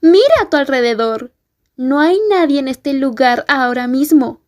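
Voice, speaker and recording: A woman's voice: high voice, natural voice. A woman playing a mocking, threatening schoolgirl bully in an ASMR roleplay, drawing out her taunts. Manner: taunting, drawn-out.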